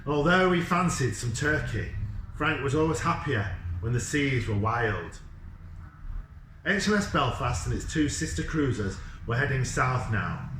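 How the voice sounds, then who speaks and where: A man reads a children's story in measured, dramatic short phrases. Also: medium pitch, lively intonation, a bit bright, a little hiss or hum. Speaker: author reading from a children's novel. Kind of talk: storybook narration. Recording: echoey room sound.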